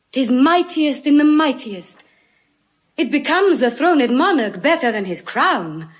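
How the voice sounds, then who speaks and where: Eloquent young lawyer, very slow, expressive. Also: female voice, a little high, heavily acted. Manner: measured, earnest, dropping to hushed emphasis. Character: young lawyer, a disguised woman, eloquent, solemn, merciful. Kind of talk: classical stage acting in audio Shakespeare.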